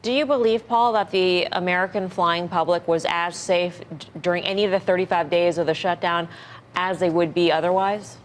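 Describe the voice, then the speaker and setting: A woman's voice: on the low side, steady tone, moderate pace, a little hiss or hum. A woman interviewing a guest on live TV news with pointed, probing questions.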